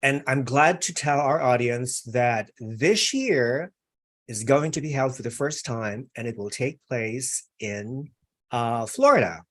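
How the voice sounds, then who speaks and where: A man's voice: medium pitch, animated, takes it slowly, fairly bright tone. A man hosting a live online interview, chatty and effusive, with many fillers. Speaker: interview host.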